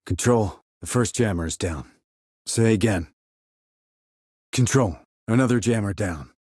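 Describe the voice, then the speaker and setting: An actor playing a terse, dry, confident military sniper in a video game, with a husky voice and clipped, controlled reports. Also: male voice, lowish voice, a little slow, a little mellow, no room sound. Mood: businesslike. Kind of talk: scripted military game dialogue.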